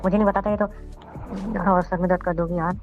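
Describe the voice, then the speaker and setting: A man acting a flustered, hungover young boyfriend in a phone-call drama, questioning repetitively, his voice pitched up and sped up. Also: level tone, quick pace. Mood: resigned. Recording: muffled lo-fi call audio. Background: music.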